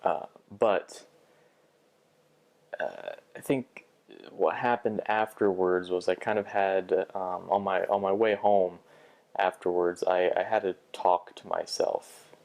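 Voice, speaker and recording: A man's voice: sits low, fairly even intonation, slow, a little mellow. A young man talking through a bad job interview in a personal vlog, hesitant, with frequent restarts and fillers. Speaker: vlogger.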